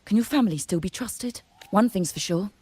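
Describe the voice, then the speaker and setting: A woman's voice: mid-range voice, animated, a little fast, a little mellow, husky, dry recording. An actress playing a brusque, plain-spoken, wary but loyal woman trader in a video game, talking in a blunt, grumbling, informal way. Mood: blunt, skeptical.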